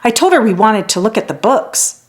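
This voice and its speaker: A woman's voice: a little high, very animated, normal speed. A woman voicing a curious, courteous boy in a children's fantasy story. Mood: casual. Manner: courteous, inquisitive.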